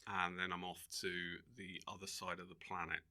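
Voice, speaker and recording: A man's voice: low-pitched, level tone, normal speed, sharp tone. A male comedian chatting casually as a podcast guest, with a wry, mock-serious way of talking.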